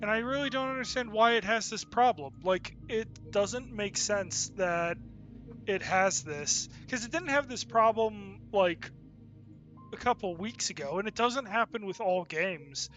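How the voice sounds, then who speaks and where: A man's voice: sits high, a little slow, a bit bright, faint music behind. A man giving casual, unscripted game commentary as a streamer, rambling and thinking aloud as he goes.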